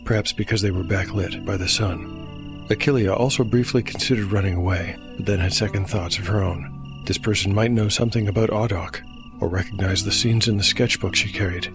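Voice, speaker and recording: Guy narrating a fantasy rpg story, lowish voice, scripted, music going behind him. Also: fairly quick. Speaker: narrator and solo game master. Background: music bed.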